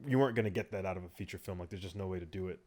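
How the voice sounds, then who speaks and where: A man co-hosting a podcast in a casual chat, animated as he steers the discussion. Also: sits low, very fast, a crowd in the background. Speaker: podcast co-host.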